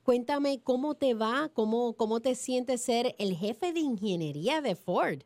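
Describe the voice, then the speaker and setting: A woman's voice: fairly high pitch, lively intonation, unhurried, a little mellow. A woman hosting a radio interview, asking prompting questions and nudging the guest along with short encouragements. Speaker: radio host. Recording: radio broadcast.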